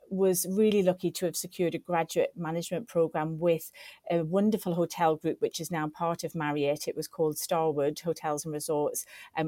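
A woman's voice: sits low, mellow, no echo, studio quality. A woman in her forties from Northeast England, a podcast guest telling her career story in an effusive, upbeat way. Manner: effusive, upbeat, piles on superlatives. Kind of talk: casual interview storytelling.

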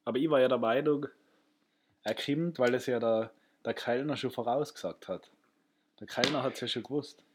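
Ein junger Mann mit österreichischem Akzent, Co-Host eines Podcasts, der locker plaudert, oft lacht und dazwischenredet. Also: male voice, mid-range voice, normal speed, husky. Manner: teasing, laughs often, cuts in. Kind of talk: casual chat.

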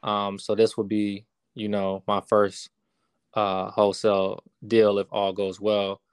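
A man in his thirties, a real estate investor, answering interview questions in a relaxed, casual way with many fillers. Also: lowish voice, a little slow, clean tone, no room sound, clean studio sound. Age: 30s.

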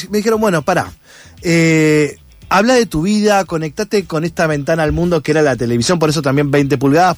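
A man with an Argentine accent and a fairly high voice answers in an interview. He talks conversationally, thinking as he goes and often rephrasing. The sound is dry, with very little room in it. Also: no echo. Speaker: interviewed actor and content creator.

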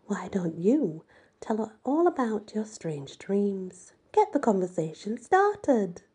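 A woman voicing a spiteful, condescending female teacher in an audiobook, breathy, with drawn-out emphasis. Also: medium pitch, sing-song, dark tone, heavily acted.

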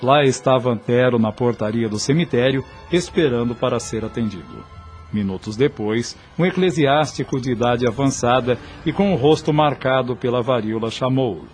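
Male narrator, Brazilian radio serial: scripted storytelling, medium-pitched voice, faint music bed. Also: moderate pace, a little mellow.

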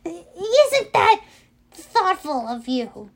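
A woman's voice: very high-pitched, big pitch swings, extremely slow, pitched above the performer's own voice, full character voice. A woman voicing a nervous young male satyr who is posing as a sweet bride and speaks in a fluttery, hesitant way. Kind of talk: audiobook character reading.

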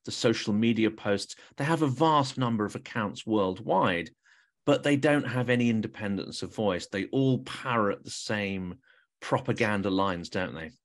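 Guy hosting, lowish voice, asks leading questions. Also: expressive, normal speed. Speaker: host. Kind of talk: interview questions.

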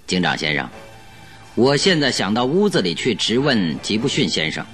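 An actor playing a sharp, composed detective in a radio drama, speaking in a measured, questioning and authoritative way. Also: male voice, lowish voice, very animated, faint music behind. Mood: thoughtful, decisive.

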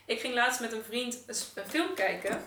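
Casting: woman guest in a casual chat, bright forceful voice, recorded off-mic with some faint noise. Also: a little high, expressive, moderate pace, reverberant, far from the mic. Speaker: artist, podcast guest. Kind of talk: casual group conversation.

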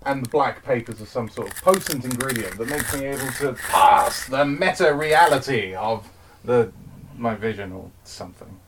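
A man's voice: mid-range voice, lively intonation, moderate pace, background noise, close to an everyday voice. A man playing a troubled, plain-spoken investigator's apprentice in an audio drama, terse and uncertain in his questioning. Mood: puzzled.

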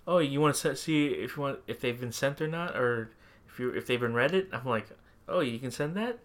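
A man's voice: mid-range voice, expressive, brisk. A man co-hosting a podcast in casual, informal chat, leaning on conversational filler phrases. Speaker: podcast co-host. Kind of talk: casual chat about tech and pop culture. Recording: clear background ambient noise.

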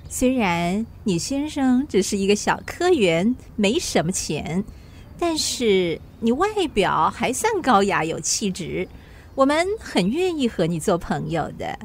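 A woman's voice: medium pitch, big pitch swings, a little slow, slight background noise. An actress playing a gushing, condescending rich society lady in a radio drama, with an affected, effusive manner.

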